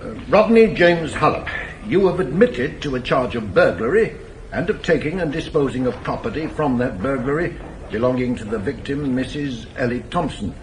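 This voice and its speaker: An actor as a pompous magistrate with expressive delivery. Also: male voice, mid-range voice, natural voice. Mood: solemn, official. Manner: formal, measured, pompous. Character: pompous, stern but lenient male magistrate. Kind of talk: 1980s British radio drama acting. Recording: faintly noisy old radio tape.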